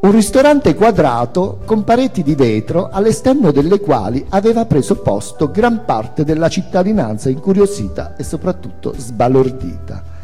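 A man's voice: a little high, very animated, normal speed, hardly any pauses, mellow, big-room sound, soft background music. A man giving a theatrical reading of autobiographical prose as its author and narrator, with dramatic, emphatic phrasing.

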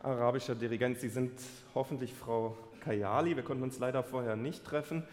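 A man's voice: mid-range voice, steady tone, a little fast, very noisy. A man who is the conductor and co-presenter, hosting a carnival concert with jovial, mock-serious banter. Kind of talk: comedic carnival stage banter. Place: concert hall stage. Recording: live hall reverb with audience.

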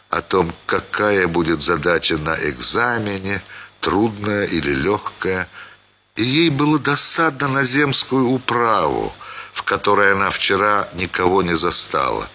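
Man, expressive story reading, very varied intonation, forceful tone, muffled audio with faint noise. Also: fairly low pitch. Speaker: actor reading a short story. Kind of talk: expressive scripted literary narration.

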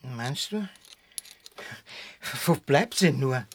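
A man's voice: mid-range voice, lively intonation, very slow. An actor playing an anxious, stranded husband and father in a science-fiction radio drama, fretful and urgent. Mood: uneasy.

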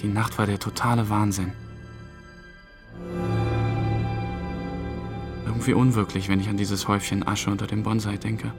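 Shy, low-voiced young man played by an actor. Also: level tone, fast, over music, natural voice. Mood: bewildered. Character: shy, awkward young man unlucky in love. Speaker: actor in radio drama. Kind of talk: naturalistic radio play acting, interview-like narration.

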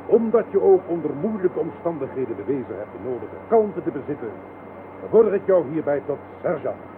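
An actor playing a pompous, condescending army general in a radio play, speechifying to a subordinate. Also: male voice, a little high, very animated, a little fast, over music. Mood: pompous, congratulatory. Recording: tinny, noisy old radio recording.

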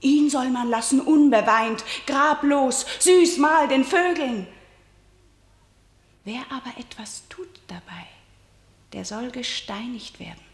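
An actress playing a defiant young princess fiercely loyal to her dead brother, speaking classical verse intensely and dropping into hushed whispers. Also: sits high. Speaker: lead actor in a radio play. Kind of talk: classical verse radio drama. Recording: roomy studio echo.

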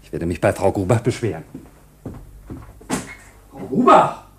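An actor playing a fussy, self-important bank official grumbling to himself in a theatrical radio drama style. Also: male voice, sits low, huge pitch swings, a little slow, exaggerated voice. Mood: irritated. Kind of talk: classic German radio drama acting. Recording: faintly noisy old radio recording.

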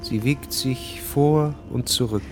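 A man's voice: mid-range voice, takes it slowly, faint music behind. A man reading scripted narration for a radio drama.

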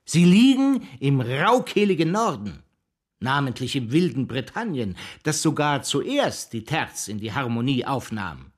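Radio drama: pompous reactionary scholar. Rough-edged voice, very animated intonation, scornful rhetorical lecturing. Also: male voice, mid-range voice, unhurried. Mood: haughty lecturing. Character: mocking, provocative arch-conservative private scholar, a cultural philosopher. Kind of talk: German radio drama acting.

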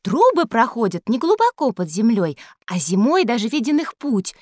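A woman playing a bright, teasing little girl in a scripted educational audio play. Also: a little high, very animated, normal speed, no room sound, clean studio sound. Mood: eager. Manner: pert, know-it-all.

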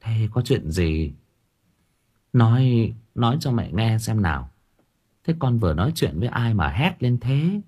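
A man's voice: lowish voice, sing-song, unhurried, dark tone, husky, exaggerated voice. A man voicing a worried older mother-in-law who scolds and coaxes. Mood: coaxing. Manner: scolding, fussing, coaxing.

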